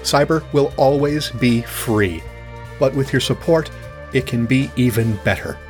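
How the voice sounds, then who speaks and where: A man's voice: mid-range voice, a little slow, faint music behind. A man presenting a scripted promotional appeal for listener support of a show.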